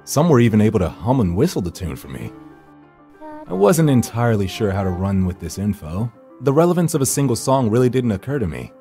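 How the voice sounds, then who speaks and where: A man with a fairly low, mellow and dark voice narrates a first-person horror story. His delivery is brooding and theatrical, suited to a visual novel, with faint music behind him. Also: very animated, brisk.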